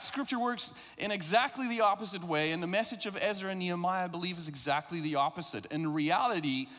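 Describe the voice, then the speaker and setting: South african guy preaching, pretty high voice, english is his second language, long echo like a big church hall. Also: bright, forceful tone. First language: not English. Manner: reflective, conversational preaching with occasional self-deprecating asides. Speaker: pastor. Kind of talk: sermon.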